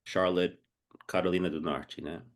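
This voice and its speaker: Male host-teacher, lower register, patient simple questions. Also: steady tone. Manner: slow, simple questions for a learner. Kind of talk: casual chat and oral interview.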